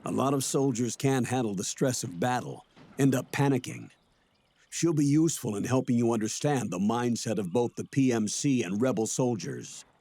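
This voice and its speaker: An actor playing a measured, authoritative older colonel in a video game, with a husky voice and an American accent. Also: male voice, mid-range voice, dark tone, close to an everyday voice. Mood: measured, advisory. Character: steady older male colonel briefing his agent. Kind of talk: video game cutscene acting.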